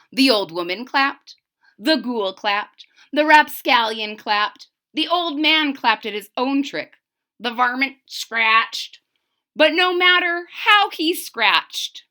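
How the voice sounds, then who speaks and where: Animated, bright-voiced woman reading a storybook. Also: on the high side. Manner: animated, dramatic, questioning listeners. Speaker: storybook reader. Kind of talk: expressive children's read-aloud with asides to listeners.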